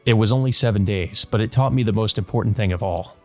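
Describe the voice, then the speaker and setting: A man's voice: lowish voice, fast talker. A man doing a let's play, reading a visual novel's narration aloud. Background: background game music.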